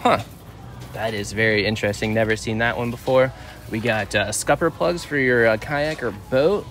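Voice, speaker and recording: A chatty man in his thirties talking his way through the aisles of a big store, speaking at an ordinary pitch for a man. He rambles, fills gaps with "uh" and corrects himself as he goes. Soft music plays faintly behind him. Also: normal speed. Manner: chatty, rambling, frequent uh fillers and self-corrections. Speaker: vlog host. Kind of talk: casual walk-through vlog. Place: large indoor store aisles. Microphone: handheld camera held by the filmer.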